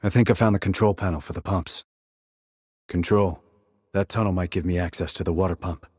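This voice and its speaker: A man playing a terse, calm military sniper in a video game, clipped and controlled, reporting in tactical radio calls. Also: fairly quick, no room sound, clean studio sound. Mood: focused, terse. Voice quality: low, husky. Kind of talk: tactical radio call acting.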